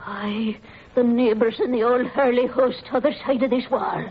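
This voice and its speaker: An actress playing a frightened, superstitious old Scottish housekeeper who talks in hushed tones, in a 1940s radio play. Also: female voice, fairly high pitch, fairly even intonation, moderate pace, natural voice. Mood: fearful. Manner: superstitious, hushed, dialect-heavy. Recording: dull old radio recording with hiss.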